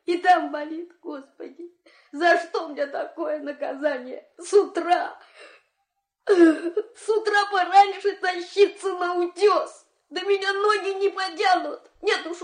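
An actress playing a stubborn, nagging farmer's wife given to dramatic complaint in an audio fairy-tale play. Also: female voice, very high-pitched, steady tone, measured pace. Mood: wailing, self-pitying. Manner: whiny, theatrical.

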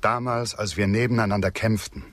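Earnest loyal frontier guy in a radio play, deep grave voice, poetic lines, no room sound. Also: steady tone, fairly quick, a bit bright, no room sound. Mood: troubled, questioning. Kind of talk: German radio drama acting.

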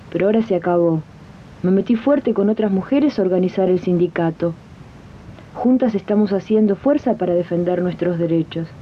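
Actress as fed-up housemaid, dull old radio tape. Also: female voice, medium pitch, close to an everyday voice. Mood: resolute, aggrieved. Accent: Argentine. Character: fed-up, exploited woman domestic worker turned union organizer. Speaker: radio drama lead and narrator. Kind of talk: 1980s radio drama acting. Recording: dull, noisy 1980s archive radio tape.

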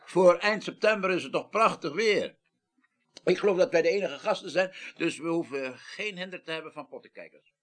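A man's voice: high-pitched, normal speed, fairly bright tone. A man playing a middle-aged parliamentary chairman in a radio drama, formal, authoritative and self-assured. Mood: genial. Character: self-assured, genial but demanding male parliamentary chairman. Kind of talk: 1980s Dutch radio drama acting.

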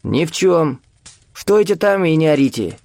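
A man voicing a nervous, aggressive old teacher in an audiobook, with a strained shouting voice and a snappish, threatening way of drawing out words. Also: fairly high pitch, very animated, unhurried, gravelly, no room sound, voice pushed up, heavily acted. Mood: curt, hostile.

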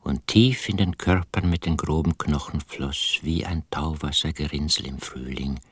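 An actor playing a brooding, uneasy country husband who narrates a radio drama in a husky, hushed, intimate voice. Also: male voice, rumbling low, moderate pace, no echo. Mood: brooding, ominous. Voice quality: husky, breathy, half-whispered. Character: brooding first-person male narrator, uneasy rural husband.

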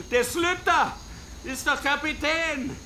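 An actor playing an overwrought colonial governor in a radio drama, theatrically hiding tears behind forced cheer. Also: male voice, extremely high pitch, expressive, takes it slowly, sharp tone, full character voice. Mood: tearful forced cheer. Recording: faint background noise.

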